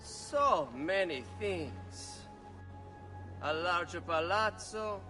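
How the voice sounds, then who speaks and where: A man's voice: high-pitched, animated, drawn-out words, bright, forceful tone, soft background music, heavily acted. An actor playing an arrogant young nobleman villain in a video game, taunting. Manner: taunting, sneering.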